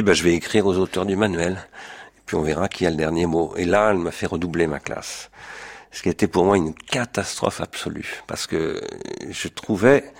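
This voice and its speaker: Older male interviewee, very low and creaky, reminiscing with halting, self-correcting delivery. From France. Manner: hesitant, searching for words, self-correcting. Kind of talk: autobiographical recollection in an interview.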